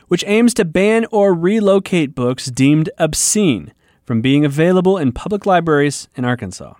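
A man's voice: fairly high pitch, darkish tone, no echo, clean studio sound. An American man working as a radio reporter, reading scripted news narration and putting questions to interviewees.

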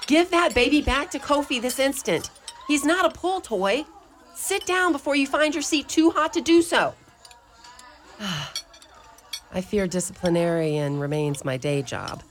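A wry, motherly mother superior, played by a woman in a community radio play. Her speech has a gentle lilt, teasing one moment and firm with the children the next. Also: sits high, expressive, measured pace, long pauses, a little hiss or hum. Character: Irish mother superior of a convent orphanage, warm, wry.

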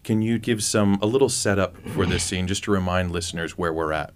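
Male interviewer, smooth low voice, inquisitive and conversational, feeling his way through questions. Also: brisk. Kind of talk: conversational radio-style interview.

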